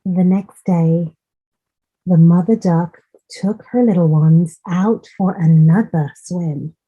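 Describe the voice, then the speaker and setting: A woman reads a children's story at a very slow, deliberate pace. Her voice is mellow and somewhat husky, and she is expressive, addressing young listeners directly. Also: on the low side, lo-fi sound.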